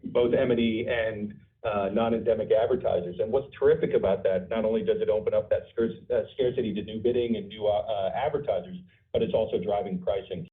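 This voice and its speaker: A male company executive giving conversational, halting answers with stammered repeats on an earnings call. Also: lowish voice. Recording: distant phone-like conference line.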